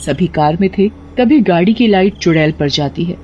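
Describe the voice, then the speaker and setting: A woman's voice: on the low side, animated, moderate pace, mellow, breathy edge, lo-fi sound. A woman narrating a scripted story dramatically as a storyteller.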